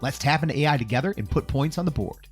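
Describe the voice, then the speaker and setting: A man's voice: mid-range voice, expressive, fast. A man reading a scripted podcast promo as a coach, with punchy, motivational delivery. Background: music.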